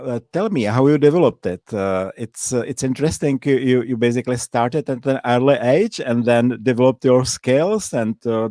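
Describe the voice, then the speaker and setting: A man interviewing a guest, speaking haltingly with many fillers and repeated words. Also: mid-range voice, warm tone, dry recording, clean studio sound. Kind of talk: casual interview chat.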